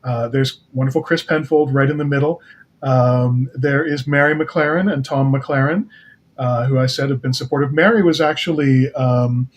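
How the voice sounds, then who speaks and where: Guy with kinda husky voice, mid pitch, just chatting and explaining stuff as a show guest. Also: fairly even intonation, normal speed. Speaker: guest writer and producer. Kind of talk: casual chat.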